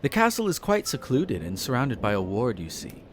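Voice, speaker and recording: A man playing a possessive, flirtatious vampire master in a roleplay audio drama, speaking formally and condescendingly with theatrical pauses. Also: medium pitch, huge pitch swings. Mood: coldly condescending. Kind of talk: scripted roleplay audio drama, addressing the listener. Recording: music behind the voice. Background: background music.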